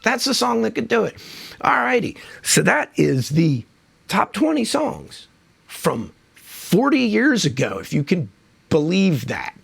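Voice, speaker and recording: A man's voice: high voice, huge pitch swings, unhurried, vocal fry, husky. A man who is losing his voice from a cold, hosting a casual music countdown in a chatty, enthusiastic, rambling way. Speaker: music video host.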